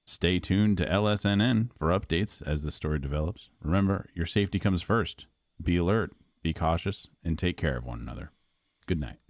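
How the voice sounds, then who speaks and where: A man playing a grave local news anchor, reading a scripted bulletin in a formal, measured way. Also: sits low, lively intonation, no echo, muffled recording.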